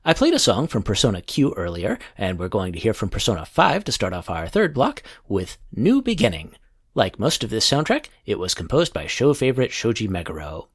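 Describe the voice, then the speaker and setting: A man hosting a music podcast, reading scripted introductions in a measured announcer-like manner. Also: fairly low pitch, wildly swooping pitch, husky. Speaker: podcast host. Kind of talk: scripted music show narration.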